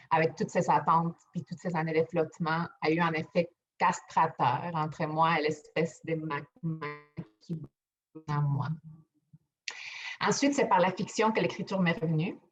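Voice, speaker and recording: A woman's voice: fairly low pitch, fairly even intonation, normal speed, faint music behind. A young woman, a native Spanish speaker, giving a prepared personal testimony as a writer and actor. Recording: dull, band-limited audio with faint noise and clicks.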